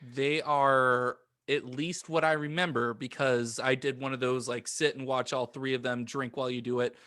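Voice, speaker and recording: A man's voice: medium pitch, level tone, moderate pace, a bit bright, no echo, studio quality. A man co-hosting a podcast in loose, casual chat. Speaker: podcast co-host.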